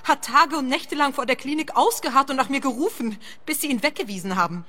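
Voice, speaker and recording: A woman's voice: sits high, fast, bright, forceful tone. An actress playing a troubled woman who has lost a difficult lover, in a radio play. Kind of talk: German-language radio play acting.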